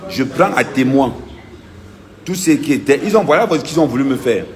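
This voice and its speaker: A man's voice: mid-range voice, expressive, moderate pace, crowd noise. A man, an activist vlogger giving an insistent, emphatic first-person account and repeating his key points.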